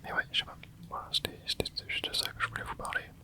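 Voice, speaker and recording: A young adult ASMR host whispering casual, rambling chat while playing a video game, with many hesitant fillers. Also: fast talker. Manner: soft unvoiced whisper throughout. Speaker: ASMR gaming channel host. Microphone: close mic.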